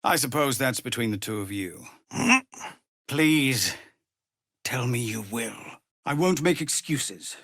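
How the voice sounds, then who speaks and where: An actor playing a weary, dutiful tiefling ex-soldier who leads refugees in a video game, speaking in a grave, measured, earnest way. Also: male voice, medium pitch, animated, fairly bright tone, dry recording, studio quality, heavily acted. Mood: grave, resigned. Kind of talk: dramatic video game character acting.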